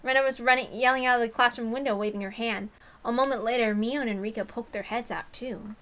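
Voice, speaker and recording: A woman narrating a visual novel aloud in an expressive style, with casual asides. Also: a little high, fairly quick, background noise.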